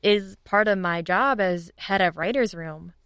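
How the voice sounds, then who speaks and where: A woman's voice: medium pitch, measured pace, fairly bright tone, clean tone, no echo, studio quality. A woman chatting casually and enthusiastically as an interview guest, informal and upbeat. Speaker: guest, audio drama writers' room leader.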